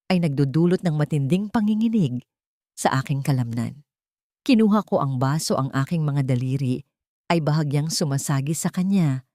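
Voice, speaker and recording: A woman narrating a dramatic first-person story in a measured, solemn way. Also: deep voice, warm tone, no echo, clean studio sound.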